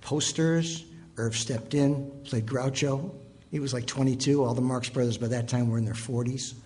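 A man's voice: medium pitch, steady tone, moderate pace, darkish tone. A man in his sixties telling anecdotes casually as a guest author on a stage panel. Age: 60s. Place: theatre stage, indoors. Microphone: table microphone on a stand. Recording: live stage pickup, faint noise.

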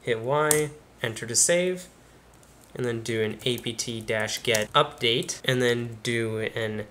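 A man's voice: medium pitch, takes it slowly, a bit bright, a little hiss or hum. A young man hosting a tech channel, casually talking through each step of a computer install as he does it. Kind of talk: screen-recorded tutorial narration. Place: bedroom studio with computer screens behind.

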